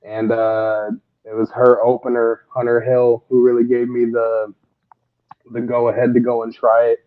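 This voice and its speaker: A man's voice: mid-range voice, monotone, normal speed, phone-quality sound. A man, a stand-up comedian, chatting loosely in an interview about his start in comedy and laughing often. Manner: laughs often, rambling storytelling. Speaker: guest comedian. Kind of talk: casual interview chat.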